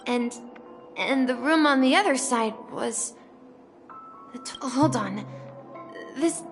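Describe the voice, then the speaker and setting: A woman voicing a timid, polite young boy doorman in a video game, hesitant and eager to help. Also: high-pitched. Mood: unsure, helpful. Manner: hesitant, halting. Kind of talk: scripted game voice acting. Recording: long hall reverb, faint music.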